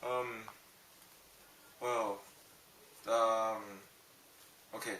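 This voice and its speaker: Hesitant young man, bright voice, roomy and distant. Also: fairly low pitch, little pitch movement, drawn-out words, a little hiss or hum. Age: young adult. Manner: hesitant, many ums and restarts. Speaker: interviewee. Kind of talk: casual interview answers.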